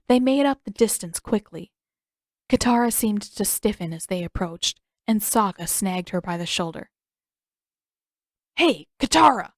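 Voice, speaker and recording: Woman reading a story out loud, expressive narrator, mid pitch, super dry audio. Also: no room sound. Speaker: podfic narrator.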